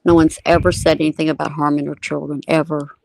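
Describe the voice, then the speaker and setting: A woman speaking earnestly and insistently in a measured, unscripted live discussion. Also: low voice, flat delivery, creaky, a little mellow, no room sound, rough recording.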